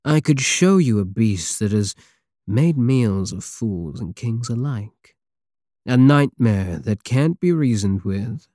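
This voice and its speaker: Guy doing a dark mellow pirate king for asmr, teasing drawl that swoops around, menacing and smitten. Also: medium pitch, lively intonation, slow pace, no echo, studio quality. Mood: menacing, seductive. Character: swaggering, obsessive space pirate king, flirtatious and menacing. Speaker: audio roleplay voice actor. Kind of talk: intimate ASMR roleplay acting.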